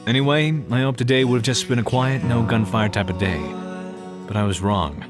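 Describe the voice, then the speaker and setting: A man's voice: medium pitch, brisk, loud music behind the voice, close to an everyday voice. A man playing a sardonic, blunt police detective in an audio crime drama. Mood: somber narration. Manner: sarcastic, blunt. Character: sardonic, gruff adult male police detective. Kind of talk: audio drama acting with narration.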